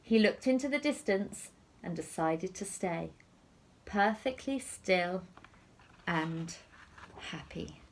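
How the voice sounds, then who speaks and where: A woman teacher reading a picture story aloud to young children in an expressive, deliberate storytelling manner. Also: on the low side.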